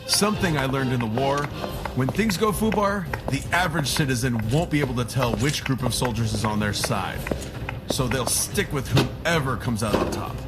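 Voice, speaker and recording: A man acts a steady war veteran in an audio drama. He speaks at a middling pitch, matter-of-fact with dry wit. Loud music plays under him. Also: moderate pace, natural voice. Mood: determined. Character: steady war veteran adventurer, wry and determined. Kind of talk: modern audio drama acting.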